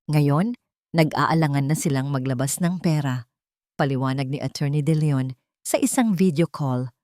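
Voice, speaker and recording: A woman's voice: low-pitched, a little mellow, no echo, clean studio sound. A woman with a Filipino accent narrating a dramatic scripted story.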